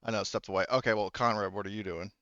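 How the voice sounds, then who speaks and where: A man narrating a tabletop roleplaying game as game master, in a casual, halting, improvised way. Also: lowish voice, fairly even intonation, a bit bright, no echo, highs cut off. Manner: halting, casual, repeats phrases.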